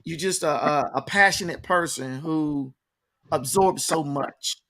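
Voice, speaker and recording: An American man hosting a casual interview, asking questions in informal, halting phrases. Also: on the high side, sharp tone, a little hiss or hum. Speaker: interviewer, host.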